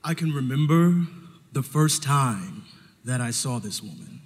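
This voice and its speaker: A man performing a personal story live on stage, theatrically, with dramatic pauses and poetic phrasing mixed with slang. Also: medium pitch, animated. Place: live stage show in a hall. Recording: reverberant hall, live on stage.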